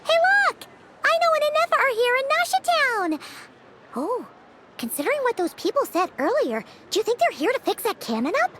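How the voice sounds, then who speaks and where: A woman voicing a tiny childlike fairy companion in a video game, excitable and cheeky, with a squeaky, babyish voice. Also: brisk, slight background noise, full character voice. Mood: chatty, curious. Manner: refers to self in third person, bubbly. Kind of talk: video game character dialogue.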